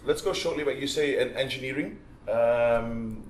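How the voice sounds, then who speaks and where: A man hosting a casual interview, relaxed and a bit hesitant, often pausing on "um". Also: medium pitch, slight background noise. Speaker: interviewer and host. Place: large busy convention hall. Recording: echoey hall with faint ambience.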